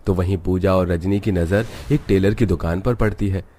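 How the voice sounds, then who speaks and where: Male narrator for cartoon tales: low voice, scripted storytelling delivery. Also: fairly even intonation, normal speed, mellow.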